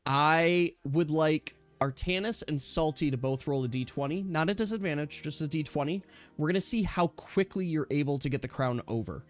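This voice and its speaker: A man's voice: on the high side, moderate pace. A man running an online tabletop role-playing game, explaining the action step by step as game master. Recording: music behind the voice. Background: music.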